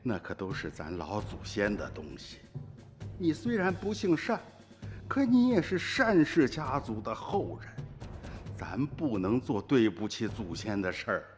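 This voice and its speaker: A man's voice: medium pitch, big pitch swings, a little slow, in a large hall. A man playing an earnest, suspicious village uncle in a radio drama, insistent and sighing. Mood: stern, accusing. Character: earnest, suspicious rural uncle telling the past to a grandchild. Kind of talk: Chinese radio drama acting and narration. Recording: music behind the voice.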